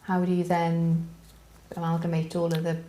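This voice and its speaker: A woman's voice: fairly low pitch, completely flat delivery, normal speed, mellow, poor audio. A woman with a light Welsh accent co-hosting a live Q&A, reading out viewer questions and teasing her partner. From Wales. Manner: teasing, laughing, reads questions out. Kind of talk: casual live Q&A chat.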